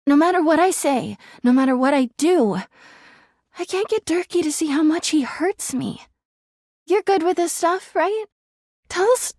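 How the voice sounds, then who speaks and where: A clingy, melodramatic girlfriend from a video game. Her voice sits high and swoops constantly with volatile, whiny emotion. She is jealous and needy, recorded dry and clean like studio character work. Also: a little mellow, dry recording. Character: clingy, jealous, melodramatic female living household object, toxic girlfriend.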